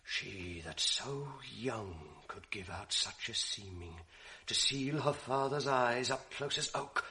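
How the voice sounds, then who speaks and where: A manipulative false friend: hushed, confiding classical verse in a radio drama, crisp and pointed. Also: male voice, mid-range voice. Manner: hushed, confiding, insinuating, often near a whisper. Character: scheming manipulative male ensign, false friend.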